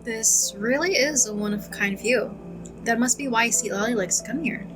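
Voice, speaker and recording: A woman's voice: on the high side, moderate pace, poor audio. A woman streaming a game, playful and casual, commenting and reading dialogue choices aloud. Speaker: gaming streamer. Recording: game music behind the voice.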